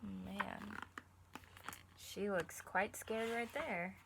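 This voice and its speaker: A woman teacher reading a picture book to children, encouraging them and pausing to explain things simply and ask questions. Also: medium pitch, fairly even intonation, gravelly, background noise. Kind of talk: read-aloud lesson with questions to young listeners.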